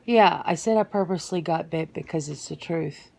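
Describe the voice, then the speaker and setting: A woman playing a guarded, earnest werewolf answering interview questions in an ASMR roleplay, speaking haltingly and matter-of-factly. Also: low voice, gravelly, darkish tone. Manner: hesitant, matter-of-fact, halting with pauses.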